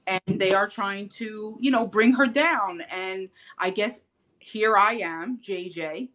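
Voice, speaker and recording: A woman's voice: mid-range voice, slow pace. A woman guest in casual interview chat, telling her story with lots of laughs and acted-out quotes. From Puerto Rican heritage. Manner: animated storyteller, acts out quotes, laughs often. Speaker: podcast guest.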